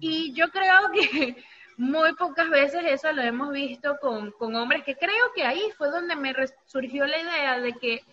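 High, bright-voiced Venezuelan woman. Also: unhurried. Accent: Venezuelan Spanish. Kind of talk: casual conversational talk. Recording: dull lo-fi audio with faint noise.

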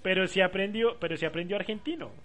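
A man talking football casually with co-hosts, chatty and quick to laugh. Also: sits high, fairly bright tone. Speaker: sports talk host. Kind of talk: casual sports chat.

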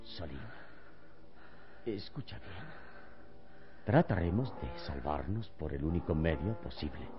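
A calm, commanding male hero of old radio drama. His voice is extremely low and deliberate, with a hypnotic solemnity. Music plays clearly behind the dull recording. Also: heavily acted. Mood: grave, reassuring. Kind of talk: old radio serial acting.

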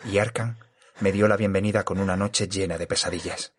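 An actor playing a weary surveyor who narrates an eerie horror tale in a measured, literary, sombre way. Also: male voice, deep voice, steady tone, breathy edge. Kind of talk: dramatic first-person audio drama narration. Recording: music behind the voice.

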